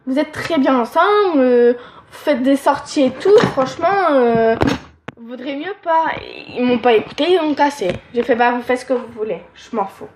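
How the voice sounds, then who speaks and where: A woman's voice: sits high, expressive, moderate pace, a little mellow, echoey room, a little hiss or hum. A teenage girl giving frank, rambling relationship advice, with a nasal, stuffed-up voice and lots of fillers. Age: teens. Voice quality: nasal from allergies. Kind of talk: casual advice monologue.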